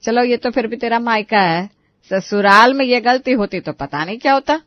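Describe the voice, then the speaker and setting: A woman's voice: a little high, normal speed, dry recording, lightly acted. Woman doing a middle-aged indian-drama mom, soothing but preachy, dry slightly dull sound. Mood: cautioning. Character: middle-aged submissive, cautioning mother advising her daughter.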